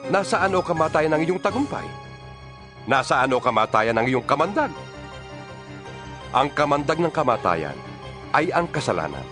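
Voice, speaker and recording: A man's voice: a little high, sing-song, normal speed, long pauses. A man with a Filipino accent playing an apostle exhorting fellow believers in an emphatic, oratorical dramatized Bible reading. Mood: triumphant, exhorting. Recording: music bed behind the voice.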